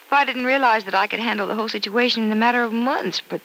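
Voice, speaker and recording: A woman's voice: on the high side, fast talker, fairly bright tone. An actress playing an earnest, worried young woman confiding in her mother in a 1950s radio soap opera. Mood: fretful. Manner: earnest, emphatic, fretful. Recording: noisy vintage radio drama recording.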